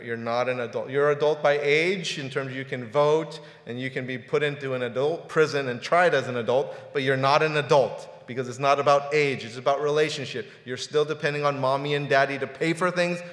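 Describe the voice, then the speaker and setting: A man's voice: mid-range voice, a bit bright. A pastor in his forties preaching a sermon in a casual, conversational way, often repeating words as he talks. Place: church sanctuary. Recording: roomy hall reverb.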